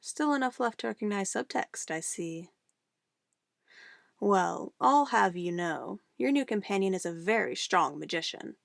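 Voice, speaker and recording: A woman playing a sweetly menacing, possessive witch in an ASMR roleplay, cooing and coaxing condescendingly in a soft voice. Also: medium pitch, gravelly, dry recording, clean studio sound. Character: possessive young-sounding witch, sweetly menacing, doting on her familiar.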